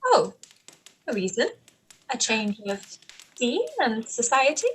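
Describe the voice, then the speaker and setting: A woman playing an earnest, opinionated young gentlewoman in a period radio play, speaking in a measured, articulate way, on a home recording. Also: a little high, big pitch swings.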